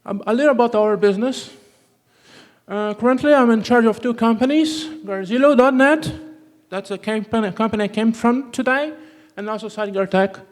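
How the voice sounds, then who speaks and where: A high-voiced man with a Brazilian Portuguese accent gives a technical conference talk. He often breaks off mid-word, restarts, and tags sentences with "okay". His voice carries the long reverb of a conference hall. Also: a little fast. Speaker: conference presenter.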